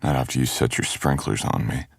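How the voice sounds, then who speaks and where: A man's voice: a bass voice, fairly even intonation, fairly quick, gravelly, husky, no room sound, heavily acted. A man playing a teasing, caring male best friend in an intimate boyfriend ASMR audio roleplay, with playful grumbling.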